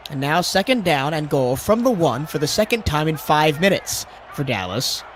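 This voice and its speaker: Hoarse man calling football play-by-play. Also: very animated, normal speed, fairly bright tone, slight background noise. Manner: rises sharply in pitch and volume on big plays. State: hoarse, lower voice after a cold. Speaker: play-by-play commentator. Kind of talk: sports play-by-play commentary.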